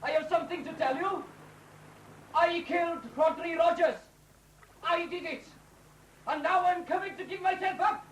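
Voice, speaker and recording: A distraught, defiant man in an old radio play. His voice is strained very high and grows declamatory, at times shouted. It sounds far off and echoing, on a faintly noisy recording. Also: normal speed, long pauses. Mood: defiant, frenzied. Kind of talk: 1970s BBC radio drama acting.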